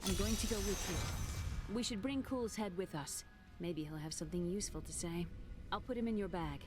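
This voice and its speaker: A woman's voice: mid-range voice, normal speed, lightly acted. A woman playing a determined young adventurer in a fantasy game, earnest and plain-spoken. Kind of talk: natural fantasy game acting. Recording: loud game music behind voice.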